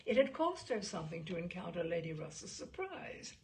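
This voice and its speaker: A woman reading a classic novel aloud as an audiobook, in a measured, expressive storytelling manner with a creaky voice. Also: fairly low pitch, a little hiss or hum. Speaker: audiobook reader. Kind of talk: literary narration of a classic novel, voicing dialogue.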